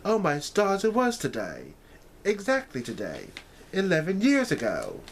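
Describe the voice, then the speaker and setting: A man voicing an excitable, proper, well-bred young woman from a storybook, earnestly exclaiming and musing aloud. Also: sits high, unhurried, a little hiss or hum, pitched above the performer's own voice, full character voice. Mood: astonished. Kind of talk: storybook character reading.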